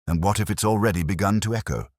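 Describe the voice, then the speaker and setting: A man voicing a brooding, cerebral gentleman detective in an audiobook, grave and deliberate. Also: on the low side, no room sound, clean studio sound, pitched above the performer's own voice, natural voice. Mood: grave, pensive. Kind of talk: audiobook character reading.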